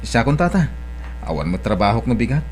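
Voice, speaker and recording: A man's voice: medium pitch, sing-song, fairly quick, darkish tone, slight background noise, natural voice. A man playing a dutiful, hardworking young son with heartache in an Ilocano audio drama, polite and subdued.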